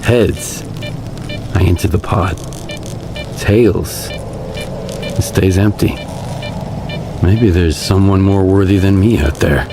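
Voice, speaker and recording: Deep-voiced stoic stalker, music behind. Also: male voice, wildly swooping pitch, takes it slowly, pauses often, heavily acted. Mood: grave, resolved. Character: resolute, stoic male lone stalker of the wasteland. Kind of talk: dramatic video game cutscene acting.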